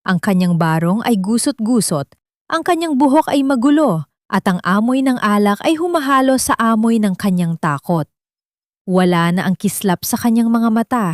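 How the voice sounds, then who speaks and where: A woman's voice: on the high side, no room sound, clean studio sound. A woman with a Filipino accent reading a dramatic scripted story as narrator.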